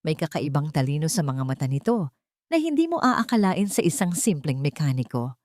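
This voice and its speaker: A woman's voice: low-pitched, sing-song, moderate pace, darkish tone, dry recording, clean studio sound. A woman with a Filipino accent giving a dramatic scripted narration of a story.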